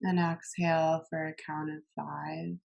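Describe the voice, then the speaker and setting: A woman instructor leading a guided meditation in a calm, gentle manner, giving soothing cues with long pauses. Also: low-pitched, creaky, lo-fi sound.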